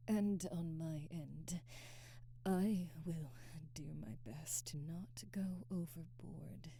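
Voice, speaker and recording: Casting: actress for aristocratic female vampire, ASMR roleplay. Low, dark, breathy voice, often whispered, formal, teasing and hungry but restrained. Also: dry recording, exaggerated voice. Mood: grave, cautioning. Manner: slow, formal diction with teasing, halting restraint. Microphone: close, dry microphone.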